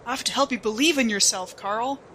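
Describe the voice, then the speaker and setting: A woman's voice: a little high, fairly quick, noisy background. A woman bantering casually as a player in a tabletop game, with a wry, joking manner.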